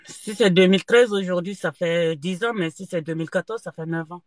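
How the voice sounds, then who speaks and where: A woman's voice: fairly low pitch, fairly quick, darkish tone, no room sound, studio quality. A woman from Guinea, hosting a live stream and chatting casually about prices, talking in a hesitant way with many fillers.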